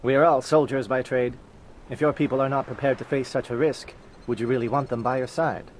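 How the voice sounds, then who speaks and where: A man voicing a solemn alien commando captain in a video game, speaking in a formal, measured, oratorical way. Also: medium pitch, steady tone, slight background noise, natural voice. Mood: formal, assured. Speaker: military captain. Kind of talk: video game cutscene acting.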